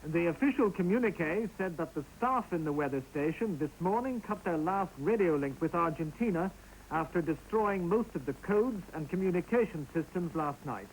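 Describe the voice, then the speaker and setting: A man's voice: a little high, expressive, normal speed, slight background noise, poor audio. A man reading a scripted news report as a correspondent.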